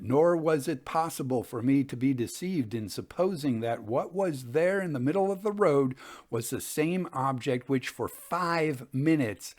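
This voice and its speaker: A man reading Victorian ghost tales as a storytelling host, in a measured, deliberate and expressive way. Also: mid-range voice. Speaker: host and reader of ghost stories.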